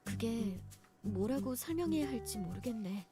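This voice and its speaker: Quick, playful young game heroine. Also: female voice, on the high side, animated, gravelly, over music. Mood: uneasy. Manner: casual, bantering. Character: playful, teasing young woman, energetic and blunt. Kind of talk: visual novel game voice acting.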